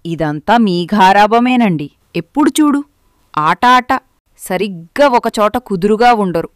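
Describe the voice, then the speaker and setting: A woman's voice: mid-range voice, animated, unhurried, no room sound, clean studio sound, lightly acted. A woman playing a poor, devoted beggar mother and mildly scolding wife in a dramatised moral story. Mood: chiding.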